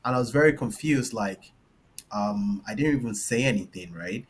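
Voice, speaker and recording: A man's voice: lowish voice, lively intonation, normal speed, a little hiss or hum. A man from Nigeria with a light Nigerian accent, a panelist telling a personal story in a loose, rambling way full of "like" and "right?". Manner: loose, filler-heavy, tags lines with "right?". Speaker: panelist, engineer and founder. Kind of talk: casual storytelling.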